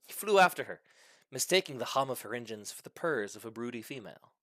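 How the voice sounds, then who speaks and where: A man's voice: medium pitch, lively intonation, fast talker, bright, forceful tone, dry recording. A man reading a comic short story aloud with mock-serious, dramatic delivery. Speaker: story reader.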